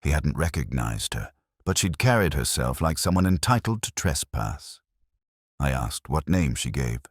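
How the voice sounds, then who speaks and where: A man playing a weary, pensive detective inspector who narrates his case in a measured, literary, brooding way. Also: rumbling low, sing-song, no room sound, clean studio sound, full character voice. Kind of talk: scripted first-person mystery narration.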